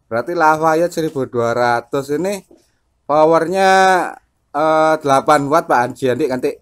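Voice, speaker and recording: Low-key man chatting on radio. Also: moderate pace. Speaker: amateur CB radio operator and vlogger. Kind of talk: casual radio chat.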